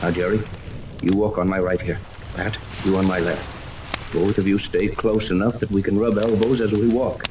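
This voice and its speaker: Casting: male actor for steady, authoritative sea captain, low register, vintage radio adventure, noisy recording with rain. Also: close to an everyday voice. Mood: commanding, steady. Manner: authoritative, deliberate, gives orders. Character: commanding, level-headed male yacht captain leading an expedition. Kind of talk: 1930s radio serial acting. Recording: noisy 1930s radio, rain ambience over it.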